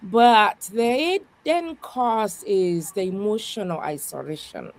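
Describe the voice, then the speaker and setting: A woman's voice: mid-range voice, lively intonation, takes it slowly, no room sound. A woman pastor speaking earnestly in a conversation, with a strong voice, who often adds "yeah" as she talks. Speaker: pastor, guest. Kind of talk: podcast conversation, reflective.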